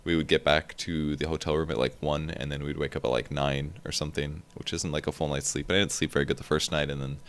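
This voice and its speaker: A man's voice: a bass voice, quick pace, hardly any pauses. A tired young man rambling casually in a gaming vlog, talking straight into a desk mic with lots of fillers. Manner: rambling, relaxed, filler-heavy. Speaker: gaming video creator.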